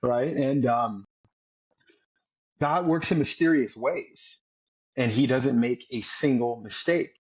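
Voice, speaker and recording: Husky mid-pitch man, 40s, earnest storytelling guest, dull lo-fi sound. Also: slow, pauses often. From United States. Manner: earnest, repeats words while telling a story. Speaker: podcast guest, former Navy SEAL. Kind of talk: storytelling interview.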